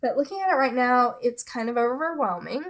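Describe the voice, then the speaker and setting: A woman's voice: on the high side, a little fast. Chatty young woman, slightly stuffy. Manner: relaxed, hesitant, many fillers and trailing asides. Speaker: beauty vlogger. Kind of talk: casual product talk to camera.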